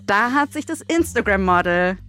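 Woman chattily pitching a story. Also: animated, normal speed, sharp tone, faint music behind. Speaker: co-host pitching a crime audio drama story.

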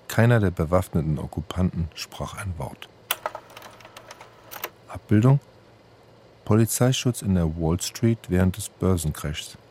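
Deep, mellow male reader, matter-of-fact. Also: a little hiss or hum. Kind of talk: scripted narration, documentary-like literary reading.

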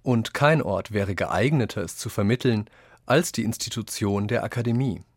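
A man's voice: lowish voice, normal speed, dry recording, clean studio sound. A man reading quoted passages from a script for a radio essay.

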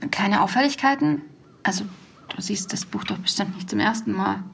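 An actress in her thirties playing a curious young woman who gently coaxes a witness with probing questions in an audio drama. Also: mid-range voice, moderate pace, gravelly, a little hiss or hum, lightly acted. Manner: probing, gently coaxing, sometimes whispering asides. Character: curious young woman searching for a lost tape. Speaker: podcaster interviewing a witness.

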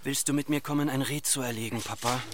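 A man's voice: medium pitch, fairly even intonation, fast, noisy background, close to an everyday voice. Man as pleading, plaintive hungry son. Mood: urgent pleading. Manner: insistent, plaintive. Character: hungry neglected son pleading with his father. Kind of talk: radio drama dialogue.

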